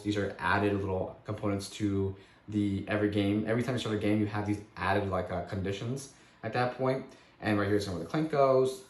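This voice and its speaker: A man with a voice still hoarse from a cold, casually reviewing a board game at home and rambling with many self-corrections. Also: fairly low pitch, reverberant.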